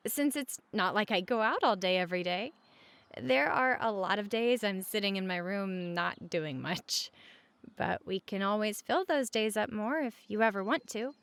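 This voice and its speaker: Friendly, reassuring female roommate, played by a woman for comfort audio: casual, gentle, frequent vocal fry, very dry close sound. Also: mid-range voice, dry recording. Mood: reassuring, caring. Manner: casual, gentle, reassuring, speaking at regular volume. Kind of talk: conversational comfort audio roleplay.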